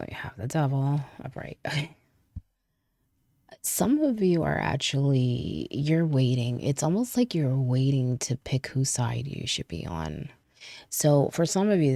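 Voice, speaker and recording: A woman's voice: extremely low pitch, vocal fry, mellow, no room sound, studio quality. A young woman giving a casual tarot reading, stuffy from a cold, chatty and laughing often. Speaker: tarot reader.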